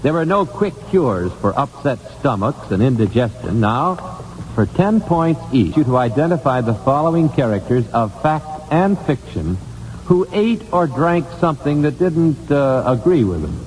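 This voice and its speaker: A man's voice: mid-range voice, very animated, moderate pace, a little mellow, big-room sound, slight background noise, rough recording. An American man hosting a 1950s radio quiz show, putting questions to contestants on an old broadcast recording.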